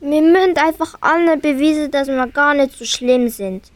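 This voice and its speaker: A hungry, bossy wolf leader acted by a young woman in a children's radio play. The voice is high-pitched, with a Swiss German accent. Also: normal speed, slight background noise, close to an everyday voice. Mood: eager.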